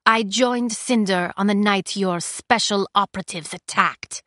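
A woman reading aloud, voicing a hoarse but defiant young woman prisoner. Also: medium pitch, a little slow, pushed and bright, dry recording, clean studio sound. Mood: defiant, raised.

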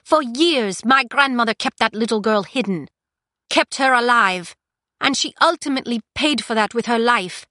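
A woman reading aloud, voicing a hoarse but defiant young woman prisoner. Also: on the high side, animated, moderate pace, sharp tone, no room sound, clean studio sound, voice pushed up. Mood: defiant, raised.

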